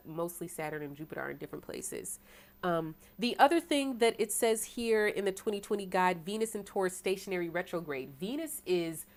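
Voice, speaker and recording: Casting: American woman, tarot reader, deep voice, preaching tone, rhetorical questions, quick bursts. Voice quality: self-described deep voice. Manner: preachy, rhetorical, asks "right?" often, bursts of fast talk. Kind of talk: tarot sermon to an online audience.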